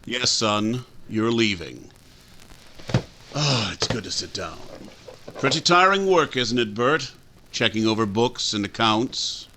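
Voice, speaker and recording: A man's voice: medium pitch, expressive, takes it slowly, background noise. A man playing a stern older father and banker in 1940s radio drama style, blunt, folksy and indignant. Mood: curt. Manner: folksy, accusing.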